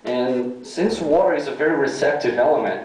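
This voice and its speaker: A man explaining physics ideas to an audience in a patient, explanatory way, often filling pauses with "you know". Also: medium pitch, expressive, darkish tone. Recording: distant echoey room recording.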